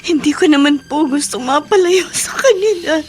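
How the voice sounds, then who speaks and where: A woman's voice: high voice, animated, normal speed. A woman with a Filipino accent playing a tearful, homesick figure in a radio drama, speaking plaintively and respectfully. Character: tearful, homesick woman longing for loved ones.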